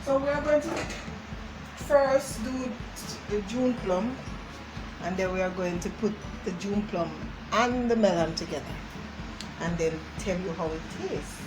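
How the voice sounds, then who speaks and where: A woman's voice: medium pitch, measured pace, low-quality recording. A middle-aged woman with a Jamaican accent, chatting casually to viewers as a co-host. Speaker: co-host, the host's mother. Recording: music over the talk. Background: music.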